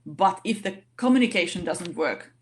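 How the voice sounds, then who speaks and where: A woman speaking English as a non-native language, giving an online session talk in a halting way with many fillers and restarts. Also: fairly low pitch, animated. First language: not English. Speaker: organization vice president giving a talk.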